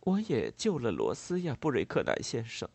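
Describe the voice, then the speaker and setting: A man voicing a composed, proud, tender gentlewoman in a storybook, speaking in a measured, earnest and formal way. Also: mid-range voice, huge pitch swings, gravelly, slightly rough, no room sound, exaggerated voice. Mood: earnest, firm.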